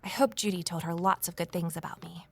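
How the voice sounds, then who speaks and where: A woman's voice: fairly low pitch, steady tone, fast, creaky. An actress playing a wry, candid woman starting over, narrating her own story in an audio drama with self-deprecating asides. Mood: candid, upbeat. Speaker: lead character and first-person narrator. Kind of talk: scripted first-person audio drama narration.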